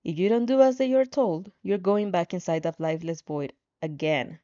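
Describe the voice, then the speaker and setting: A woman's voice: on the low side, normal speed, warm tone, highs cut off. A woman narrating fan fiction expressively, as an amateur storyteller.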